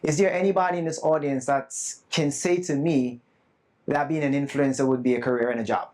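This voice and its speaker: A man's voice: medium pitch, fairly even intonation, brisk, darkish tone. A man giving a live conference talk to a room of educators, earnest and emphatic, with self-deprecating asides. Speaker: conference presenter. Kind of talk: live summit talk to an audience. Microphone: not loud at first, asked to speak louder.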